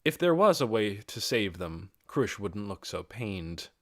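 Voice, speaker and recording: A man reading a fantasy audiobook as narrator, in a measured and expressive dramatic storytelling style. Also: on the low side, no echo, studio quality.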